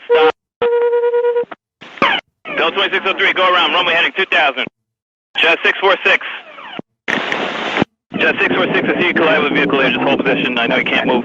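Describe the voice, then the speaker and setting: Muffled noisy radio transmission, male air traffic controller, mid-pitched voice, clipped instructions. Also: very animated, moderate pace, long pauses. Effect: two-way radio.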